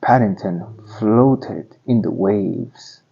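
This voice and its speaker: A man narrating a children's storybook aloud in simple, deliberate phrasing for young listeners. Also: lowish voice, vocal fry, dark tone, husky, highs cut off.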